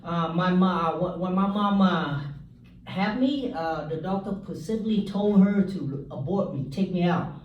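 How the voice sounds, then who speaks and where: A man's voice: high-pitched, lo-fi sound, a little hiss or hum. Man, echoey church hall. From South Vietnam. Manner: halting, many fillers, joking asides. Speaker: speaker giving a testimony. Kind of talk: church testimony talk. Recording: echoey hall, mic from far off.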